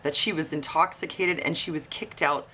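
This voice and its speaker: A woman's voice: deep voice, fairly even intonation, normal speed, sharp tone. A woman giving informal investigative commentary to online viewers in a conversational, questioning way. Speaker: online video host. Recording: noisy, buzzing tree-cutting outside. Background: buzzing from tree cutting outdoors.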